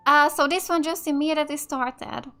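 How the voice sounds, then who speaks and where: A woman's voice: high voice, fairly even intonation, normal speed, sharp tone. A woman from Finland with a Finnish accent giving casual, slightly hesitant let's play commentary as a gaming YouTuber. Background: game music.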